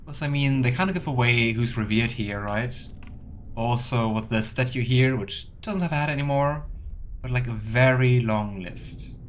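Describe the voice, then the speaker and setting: A man's voice: mid-range voice, normal speed, a little hiss or hum. A man giving casual, chatty game commentary as a let's play streamer, sometimes reading game text aloud.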